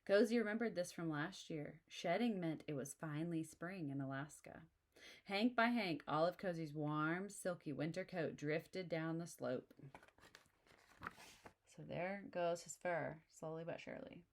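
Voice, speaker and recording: A woman's voice: sits low, measured pace. Friendly woman reading a picture book. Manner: friendly, explaining to kids. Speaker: children's book reader. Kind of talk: picture-book read-aloud with asides.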